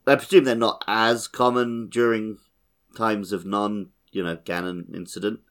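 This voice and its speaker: A man's voice: fairly low pitch, flat delivery, measured pace, fairly bright tone. A man hosting a podcast, chatting casually about a video game. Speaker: podcast host.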